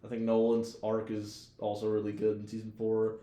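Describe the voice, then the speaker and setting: A man's voice: lowish voice, monotone, normal speed, dark tone, reverberant. A man co-hosting a podcast in casual chat, talking informally with slang and swearing. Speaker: podcast co-host. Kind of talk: casual chat, TV show discussion.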